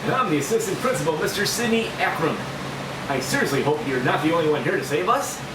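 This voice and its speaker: A woman's voice: sits low, fast talker. A woman reading the part of a panicky, indignant male assistant principal at a screenplay table read, with a whiny, exclaiming manner. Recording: roomy, distant mic, loud steady hiss.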